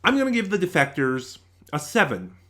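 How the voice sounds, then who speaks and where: A man's voice: on the high side, huge pitch swings, moderate pace, fairly bright tone. Rambling man giving a casual review. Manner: rambling, thinking aloud, self-correcting. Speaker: YouTube audio drama reviewer.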